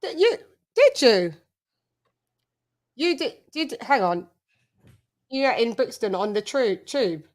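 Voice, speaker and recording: A woman's voice: fairly high pitch, very animated, slow, long pauses. Chatty English woman switching to Spanish. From England. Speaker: livestream host. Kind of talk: casual live chat with viewers.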